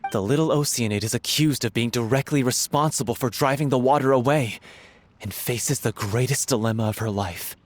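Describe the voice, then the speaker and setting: A man's voice: medium pitch, brisk, close to an everyday voice. A man voicing an enthusiastic theatre-troupe scriptwriter who recounts his story at length. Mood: narrating. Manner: storytelling, excitable. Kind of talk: game voice acting.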